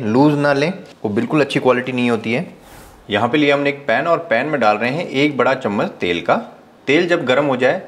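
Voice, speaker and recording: A man narrating a recipe step by step as a cooking show host, giving calm practical tips. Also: mid-range voice.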